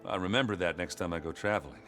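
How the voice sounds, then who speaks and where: A man playing a gruff, good-natured warrior in a video game, talking in a casual, joking, self-deprecating way. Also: low-pitched, fast, a bit bright. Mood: teasing, friendly. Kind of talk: video game cutscene acting. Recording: long reverb, music behind.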